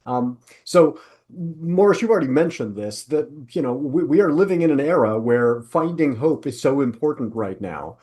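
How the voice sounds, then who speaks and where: A man's voice: medium pitch, animated, moderate pace, warm tone. A man hosting a casual panel interview, speaking in an affirming way with hesitant, searching phrasing. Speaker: podcast host.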